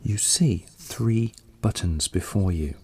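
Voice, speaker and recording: A man narrating a scripted guided meditation in a calm, unhurried, soothing way. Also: fairly low pitch, slightly rough. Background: soft background music.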